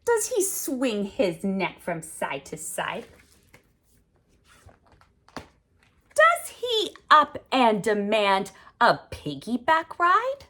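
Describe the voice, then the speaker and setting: A middle-aged woman hosting a storytime for small children, reading aloud in an expressive, exaggerated way with dramatic pauses. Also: fairly high pitch. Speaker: host of a children's sign language storytime.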